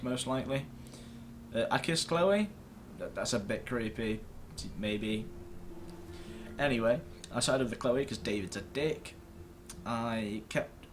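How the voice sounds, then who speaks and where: A man with an average-pitched voice talks through a video game in a casual, rambling way. He is self-deprecating and swears mildly. The home recording carries clear noise behind his voice. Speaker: host of a gaming video series. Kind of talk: casual gameplay commentary to camera. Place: indoors at a computer screen.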